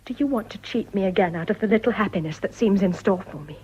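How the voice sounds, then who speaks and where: An actress playing a defiant, wronged young wife in a period radio drama, with formal, emphatic upper-class English speech. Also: female voice, mid-range voice, fast, a little mellow, husky, lightly acted. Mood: indignant, pleading. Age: young adult. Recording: dull, lo-fi radio recording.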